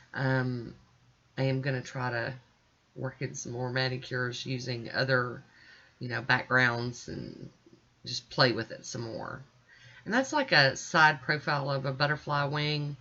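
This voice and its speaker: A woman's voice: very deep voice, sharp tone, muffled recording. A middle-aged American woman giving a chatty, folksy and informal voice-over as a nail art vlogger. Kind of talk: casual tutorial voice-over.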